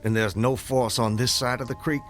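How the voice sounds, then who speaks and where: An actor playing a proud, fervent planter in a radio drama, formal and grandiloquent. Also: male voice, medium pitch, steady tone, moderate pace, faint music behind, natural voice. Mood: intent. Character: proud, fervent Southern gentleman planter and husband.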